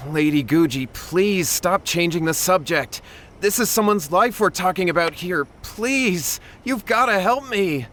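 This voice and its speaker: An actor playing an anxious, deferential young villager in a video game, earnest and stammering. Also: male voice, sits high, lively intonation, unhurried, a bit bright, a little hiss or hum. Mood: desperate, pleading. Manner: stammering, earnest, eager to please.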